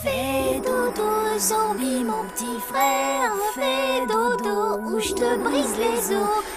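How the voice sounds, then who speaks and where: A woman's voice: very high-pitched, big pitch swings, takes it slowly, loud music behind the voice, full character voice. A woman voicing a feisty young zombie girl, a big sister in a children's cartoon. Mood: menacing lullaby. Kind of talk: French-dubbed children's cartoon acting.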